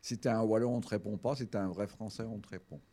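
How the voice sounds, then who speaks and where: A man's voice: lowish voice, fast talker. A man hosting a radio show, chatting with a guest and reading a scripted chronicle in a studio. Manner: expressive, lingering over words. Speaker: radio host. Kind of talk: casual chat, then scripted radio chronicle.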